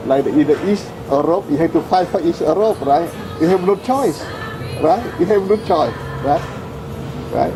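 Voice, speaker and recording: Halting older man from Vietnam. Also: fairly high pitch, poor audio. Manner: halting, many fillers and "you know, right?" tags. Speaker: interviewee, former air force officer. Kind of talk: oral history interview.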